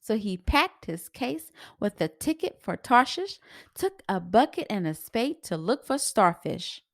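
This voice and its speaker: A woman reading a children's story aloud in an expressive, sing-song rhyming delivery. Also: fairly high pitch, a little slow, no room sound, clean studio sound.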